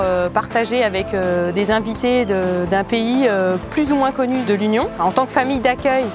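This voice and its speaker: A woman, a volunteer and host parent, speaking earnestly in an interview with a few hesitations. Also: fairly high pitch. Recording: music bed under voice.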